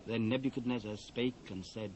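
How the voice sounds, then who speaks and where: A man with a British accent narrating scripture in a measured, solemn, formal and dramatic way. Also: medium pitch, fairly even intonation, a little mellow, low-quality recording. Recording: faint hiss, old cassette transfer.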